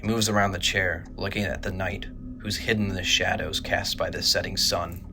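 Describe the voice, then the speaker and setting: A man narrating a scripted horror audio drama in a measured, grave manner. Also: low voice, steady tone, over music.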